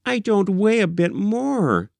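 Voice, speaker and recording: Nervous young wife, played by a male reader: plaintive, meek, lifted pitch, audiobook dialogue. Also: very animated, slow pace, gravelly, no echo, heavily acted. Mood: plaintive, protesting. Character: fretful, nervous ailing young wife, meekly protesting.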